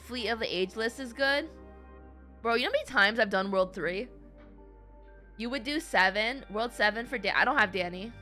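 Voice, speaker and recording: A woman chatting casually on a game livestream, talking playfully to her viewers. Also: on the high side. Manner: animated, playful, chatty, addresses viewers as gamers and guys. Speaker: game streamer. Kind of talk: casual livestream chat over gameplay. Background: game music.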